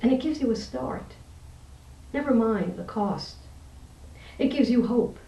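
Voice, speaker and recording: A woman's voice: on the high side, expressive, a little slow, warm tone. A woman who is an interview guest, a poet and teacher, talking and reading her poetry aloud. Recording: roomy echo, distant microphone.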